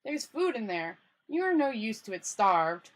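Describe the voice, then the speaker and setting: A woman's voice: fairly high pitch, very animated, a little slow, slight background noise, poor audio. A woman voicing a small, cheeky, matter-of-fact imp in a storybook, blunt and literal-minded. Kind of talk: hobby storybook read-aloud.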